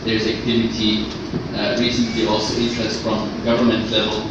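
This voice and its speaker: A man raised in Austria giving a workshop presentation in a halting way, often repeating words as he goes. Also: fairly low pitch, completely flat delivery. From Austria, born in Egypt. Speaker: conference speaker, web standards specialist. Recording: echoey, noisy room, distant muffled microphone.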